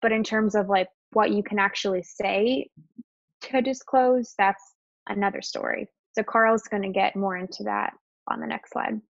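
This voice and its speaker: A woman's voice: mid-range voice, normal speed, gravelly, dry recording. A young adult woman who stutters at times, presenting slides in a webinar in an open, conversational way. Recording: dull, lo-fi webinar audio.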